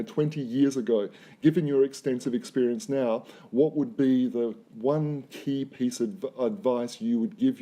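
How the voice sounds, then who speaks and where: A man hosting an interview, summing up his guest's answers in a measured, encouraging way. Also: mid-range voice, dark tone. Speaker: interviewer host.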